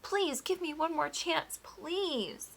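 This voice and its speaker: A woman's voice: high voice, slow pace, creaky, pitched above the performer's own voice, exaggerated voice. A woman reading a storybook aloud, voicing a distraught male baker who anxiously begs for another chance. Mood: pleading. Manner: anxious and begging. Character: distraught male village baker, humble and pleading.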